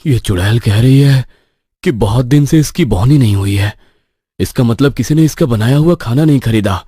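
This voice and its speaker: A man's voice: medium pitch, normal speed, warm tone, husky, no echo, studio quality, natural voice. A man playing a nervous, sceptical young stationmaster from the city in a cartoon horror story. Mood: placating, wary.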